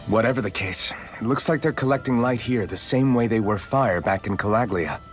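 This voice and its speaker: An actor playing an earnest, resolute young rebel fighter in a fantasy anime game, speaking plainly. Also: male voice, fairly low pitch, fairly quick, soft background music, natural voice. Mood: puzzled, musing. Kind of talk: English-dubbed anime game voice acting.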